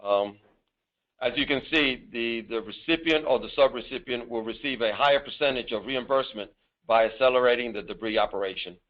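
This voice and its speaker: Male government presenter, formal and deliberate, halting phrasing, narrow phone-line sound. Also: medium pitch. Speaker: government disaster assistance official presenting. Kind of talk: formal scripted presentation with some improvised asides. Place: office, on a conference call. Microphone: telephone.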